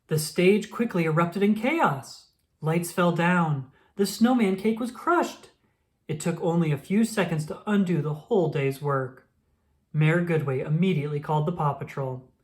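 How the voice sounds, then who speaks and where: A woman reading a children's picture book aloud in an expressive storytelling manner. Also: fairly low pitch, moderate pace. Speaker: storybook reader.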